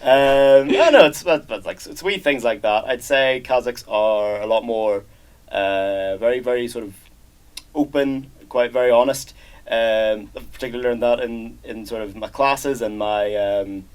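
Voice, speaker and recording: A young man with a Northern Irish accent, an exchange student answering interview questions in a relaxed, hesitant way with many fillers and restarts. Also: mid-range voice, a little slow, clear, resonant tone. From Northern Ireland. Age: young adult. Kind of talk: casual interview chat.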